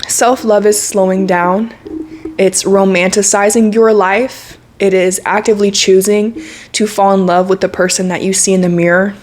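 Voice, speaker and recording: A woman's voice: medium pitch, flat delivery, normal speed, a little mellow. Candid young woman vlogging. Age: 20s. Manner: candid, earnest, slangy with frequent laughs. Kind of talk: casual reflective monologue to camera.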